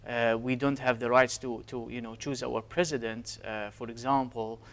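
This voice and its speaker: A man's voice: mid-range voice, flat delivery, normal speed, a bit bright. A man in his thirties from Syria with a Syrian Arabic accent, answering interview questions earnestly with frequent hesitations. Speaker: guest, physician.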